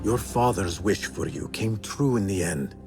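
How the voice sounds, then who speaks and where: An actor playing a sincere young assassin in a video game drama, speaking in an earnest, measured manner. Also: male voice, sits low, lively intonation, gravelly, music in the background, exaggerated voice. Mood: gentle, wistful. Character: earnest, soft-hearted young male assassin.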